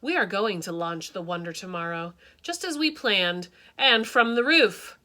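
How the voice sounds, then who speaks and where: A woman's voice: medium pitch, expressive, unhurried, sharp tone. A woman voicing a determined, rebellious schoolteacher in a storybook, speaking with resolve.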